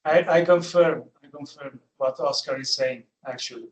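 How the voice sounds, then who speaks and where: A man's voice: medium pitch, moderate pace. A man contributing to an online panel discussion from an echoey room. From Mexico. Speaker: panel participant. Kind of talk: discussion on a video call. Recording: echoey room on video call.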